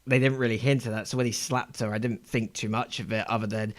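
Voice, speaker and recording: A man in a casual podcast discussion, explaining his points in a measured, thinking-aloud way. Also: mid-range voice, level tone, no room sound. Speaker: podcast panelist.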